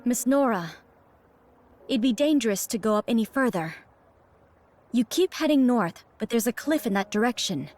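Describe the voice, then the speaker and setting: An actress playing a composed, dutiful female escort in a video game, speaking politely in a measured, formal way. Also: on the high side, lively intonation, a little mellow, close to an everyday voice. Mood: calm caution. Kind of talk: game cutscene acting.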